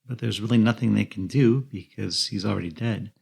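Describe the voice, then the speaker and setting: A man narrates a film recap as a podcast co-host. His voice is fairly low and husky, with a marked creak running through it. He tells the story in plain narration. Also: a little fast, darkish tone.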